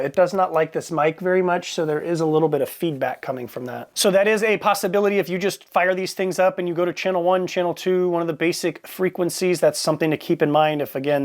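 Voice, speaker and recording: A man with a slightly high-pitched voice talks through a product review as the host. His delivery is casual and unscripted, plainspoken and matter-of-fact, like everyday conversation.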